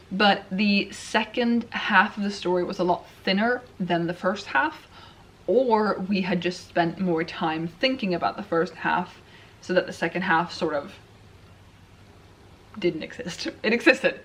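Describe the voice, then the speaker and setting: Low-voiced woman chatting on a vlog. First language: Swedish. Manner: chatty, self-deprecating, rambling with restarts. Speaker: writing vlogger. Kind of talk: casual chat to camera.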